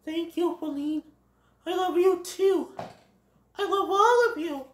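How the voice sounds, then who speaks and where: A woman voicing a gentle, sensitive young male fawn in a storybook tale, soft and sincere. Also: extremely high pitch, slow pace, pitched above the performer's own voice. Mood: grateful. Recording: echoey room.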